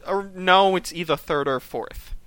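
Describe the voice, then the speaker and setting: Bright, crisp-voiced man hosting a podcast. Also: high voice, measured pace, no echo. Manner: enthusiastic, argumentative. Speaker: podcast host. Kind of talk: casual chat and listener questions.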